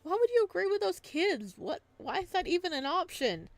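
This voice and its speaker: A woman's voice: extremely high pitch, sing-song, moderate pace, no echo, pitched above the performer's own voice, full character voice. A woman voicing a timid young male student in a visual novel, nervous, awkward and easily flustered. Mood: startled, nervous.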